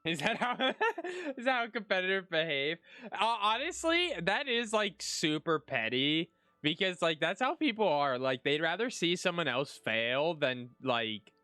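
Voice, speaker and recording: A man's voice: sits high, sing-song, a little slow, bright, forceful tone, clean tone, dry recording. A man streaming a video game and reacting in casual chat, with game music behind him.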